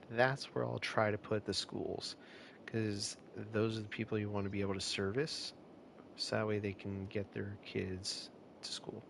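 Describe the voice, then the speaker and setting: Gravelly low-voiced man streaming a game. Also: slight background noise. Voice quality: a bit nasal, stuffed-up. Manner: relaxed, rambling, many fillers and restarts. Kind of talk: casual live-stream commentary.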